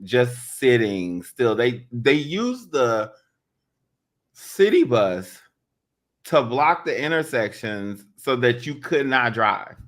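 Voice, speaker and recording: A man's voice: mid-range voice, very animated, takes it slowly, fairly bright tone. A man co-hosting a podcast, chatting casually in an informal, slangy way. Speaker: podcast co-host. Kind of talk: casual chat.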